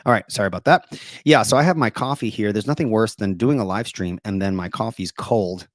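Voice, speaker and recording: A middle-aged man hosting a casual live stream, talking softly and deliberately quiet, rambling with plenty of fillers. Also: lowish voice, dry recording. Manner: soft-spoken, deliberately quiet to save his voice. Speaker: host of apologetics live stream.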